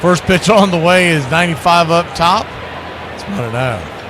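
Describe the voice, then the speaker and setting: A man's voice: on the high side, expressive, normal speed, background noise. A man calling live baseball play-by-play, conversational, with clipped calls and wry asides. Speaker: play-by-play radio announcer.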